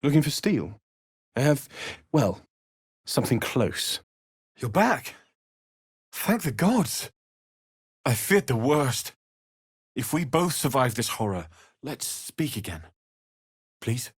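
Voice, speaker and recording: A man's voice: medium pitch, big pitch swings, takes it slowly, long pauses, husky, no echo, studio quality, exaggerated voice. An actor playing an earnest, kindly young male blacksmith refugee in a fantasy video game, speaking sincerely and politely with plenty of expression. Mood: grateful, relieved. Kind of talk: scripted fantasy game dialogue.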